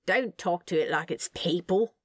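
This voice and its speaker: A woman's voice: on the low side, normal speed, dry recording, studio quality, lightly acted. A woman voicing a snappy, exasperated, paranoid male demon in a storybook reading. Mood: dismissive, grumbling. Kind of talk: storybook character voice in fan fiction reading.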